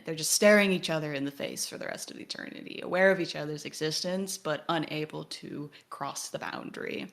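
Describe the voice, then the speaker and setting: A woman hosting a podcast, speaking in measured, analytical discussion with precise, formally worded sentences. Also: low voice, vocal fry, a bit bright. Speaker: podcast host.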